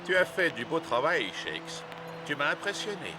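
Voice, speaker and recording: A man's voice: fairly high pitch, very animated, moderate pace. A man playing a huge, proud, imposing goalkeeper in a cartoon, self-assured and ominous. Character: giant, proud, imposing male Aztec goalkeeper. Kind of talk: dubbed cartoon dialogue. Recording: music behind the voice.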